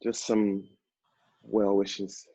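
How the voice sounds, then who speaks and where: An actor playing an earnest, emotional man who knows addiction firsthand, speaking haltingly in a stage play. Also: on the low side, little pitch movement, warm tone, heavily acted. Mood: shy, tender. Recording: roomy, dull stage recording.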